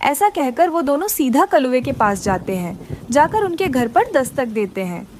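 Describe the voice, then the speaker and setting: A woman with a high voice narrates a scripted children's story. There is faint noise behind her narration. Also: sing-song, moderate pace, darkish tone.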